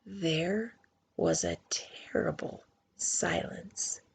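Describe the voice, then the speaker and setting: A woman reading a children's storybook aloud expressively, with theatrical pauses and hushed emphasis. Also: lowish voice, wildly swooping pitch, vocal fry, husky, whispered. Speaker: children's book reader.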